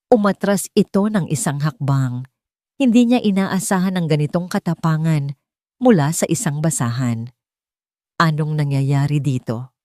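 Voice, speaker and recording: A woman's voice: sits low, darkish tone, no room sound, studio quality. A woman reading a scripted, dramatic romance audiobook as its narrator.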